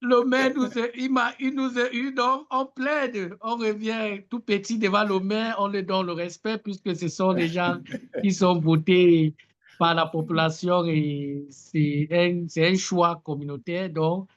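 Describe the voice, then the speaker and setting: A man's voice: sits high, level tone, a little slow, lo-fi sound. A man making an impassioned plea on a video call. He stammers and repeats words as he talks. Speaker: nominee and farming advocate.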